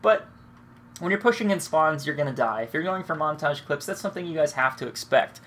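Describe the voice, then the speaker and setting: A man's voice: fairly high pitch, animated, fairly quick, fairly bright tone, slight background noise. A young man with a cold and a stuffed-up nose, casually rambling and swearing as he comments on his own gameplay. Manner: rambling, laid-back, swears freely. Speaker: gaming commentator.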